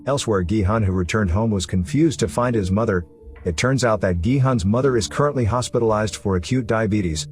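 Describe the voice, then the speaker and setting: Low-voiced man narrating a recap over music. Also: a little fast. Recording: music running behind the voice. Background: background music.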